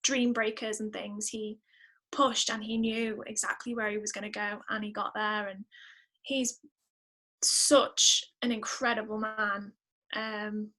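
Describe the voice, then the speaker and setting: A woman's voice: medium pitch, flat delivery, measured pace, bright, forceful tone, rough recording. A woman musician chatting casually as an interview guest, effusive and emphatic, repeating words for emphasis. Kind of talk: casual podcast interview chat.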